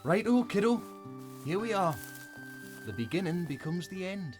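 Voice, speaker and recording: Female actor as encouraging storybook fox for an audio adventure; folksy, reassuring, with an expressive melody. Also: fairly low pitch, normal speed, music in the background. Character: kindly, encouraging male fox, a wise companion. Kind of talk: family audio drama acting.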